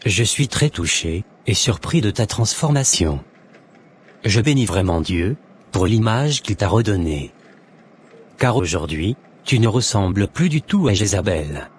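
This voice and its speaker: Man acting low-voiced solemn devout convert, noisy recording. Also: big pitch swings, unhurried. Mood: earnest.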